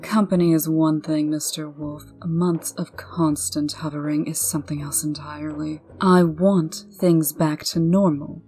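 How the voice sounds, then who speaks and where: A woman's voice: low voice, fairly even intonation, unhurried, hardly any pauses, mellow. A woman playing a proud, impatient woman in charge, stern and clipped, in a comic dub. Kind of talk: comic dub character acting.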